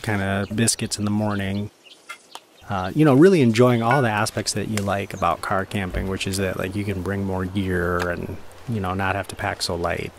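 Low-voiced man in casual voice-over. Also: a little hiss or hum. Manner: laid-back, colloquial, frequent "like" and slang. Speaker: vlogger reviewing camping gear. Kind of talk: casual first-person voice-over.

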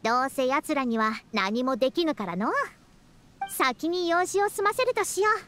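A woman's voice: sits high, expressive, normal speed, fairly bright tone, slight background noise. A woman voicing a proud, youthful-sounding scholar in a Japanese video game, talking in a self-important yet caring way with old-fashioned elder's phrasing. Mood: flustered, grumbling. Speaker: scholar and teacher. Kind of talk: Japanese anime-style video game voice acting.

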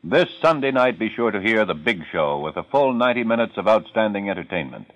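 A man's voice: lowish voice, big pitch swings, fast talker, a bit bright, no room sound. A man giving a polished, emphatic promotional announcement as a 1950s radio network announcer. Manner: polished, emphatic sales delivery. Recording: dry, muffled old radio recording.

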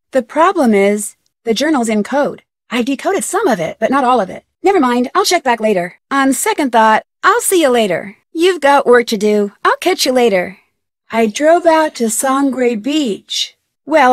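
A woman's voice: fairly high pitch, no room sound, studio quality. An actress playing an inquisitive, polite young woman detective in an adventure game, speaking earnestly. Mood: chatty, helpful. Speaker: video game lead character. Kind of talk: scripted adventure game dialogue.

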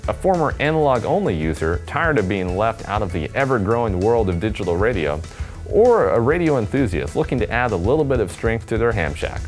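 A man in his twenties giving a casual, upbeat product demonstration to camera in an indoor studio. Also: fairly low pitch. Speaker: product demonstrator for a radio company. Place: indoor studio set with table. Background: background music.

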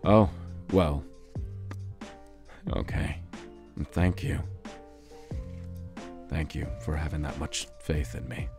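A man acting a hot-tempered, guilt-ridden gangster brother in an audio drama, speaking haltingly and repeating words. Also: very deep voice, music in the background, full character voice. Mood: remorseful.

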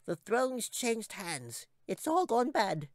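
A man voicing a weary, cranky little male talking chipmunk with a squeaky voice in a fantasy audiobook. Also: very animated, slow, no room sound, studio quality, full character voice. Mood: weary, gloomy. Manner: scolding, gloomy warnings. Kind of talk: audiobook character voice.

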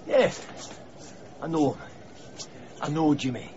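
An actor playing a wily, cagey Scottish street beggar in a radio drama, sly and teasing. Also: male voice, fairly high pitch, animated, slow, a little mellow, highs cut off, slight background noise.

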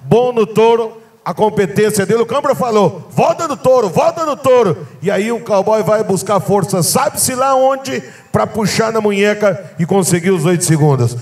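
High-voiced commentator, excitable and praising. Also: male voice, moderate pace. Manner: enthusiastic, praising, shouting at highlights. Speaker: rodeo commentator. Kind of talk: live rodeo commentary. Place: outdoor rodeo arena.

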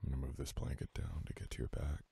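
A man playing a sweet, teasing boyfriend in an intimate ASMR audio roleplay, coaxing softly and unhurriedly. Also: extremely low pitch, level tone, quick pace, creaky, slightly rough, full character voice. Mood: tender coaxing.

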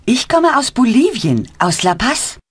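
A woman's voice: a little high, big pitch swings, unhurried, husky, full character voice. A woman voicing a helpful adult woman who gives short answers in a scripted learner dialogue.

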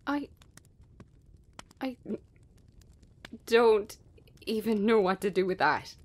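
A woman's voice: mid-range voice, lively intonation, a little mellow. Woman acting a weary, sardonic bartender. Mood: flustered, guarded. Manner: halting, conversational, sardonic. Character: Amy, weary, sharp-tongued female bartender in her thirties. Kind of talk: naturalistic drama script reading.

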